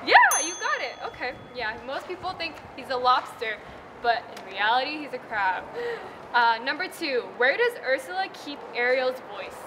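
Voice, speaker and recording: A woman's voice: sits high, sing-song, moderate pace, background noise. A teenage girl hosting a quiz segment outdoors at school, cheerful and bubbly. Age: teens.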